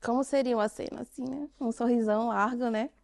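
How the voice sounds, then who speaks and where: A woman with a Brazilian Portuguese accent speaks to a conference audience in a somewhat high voice. She draws listeners in with direct questions and lands her points with emphatic pauses. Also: fairly even intonation, creaky, a little mellow. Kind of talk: interactive conference talk.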